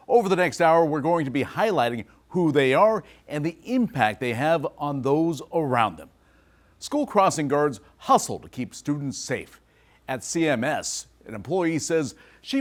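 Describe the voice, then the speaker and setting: A man's voice: a little high, no echo. A man reading scripted narration for a television news feature as reporter and anchor.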